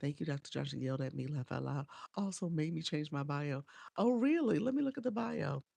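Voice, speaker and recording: A woman's voice: low voice, animated, brisk, vocal fry, mellow, dry recording. A woman coaching on a casual livestream, chatty and encouraging as she greets viewers by name, sounding a bit congested and nasal from a cold. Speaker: live streaming coach.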